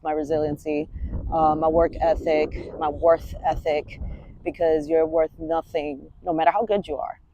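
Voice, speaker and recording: A woman's voice: low voice, dark tone, muffled recording, slight background noise. A woman, a fitness coach talking candidly in a rambling, casual vlog and swearing freely. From Washington Heights, New York. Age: 30s.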